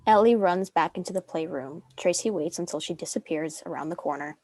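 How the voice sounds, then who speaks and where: Low-pitched young woman narrator, dry sound. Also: fairly quick, darkish tone, dry recording. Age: young adult. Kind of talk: scripted narration of screenplay directions.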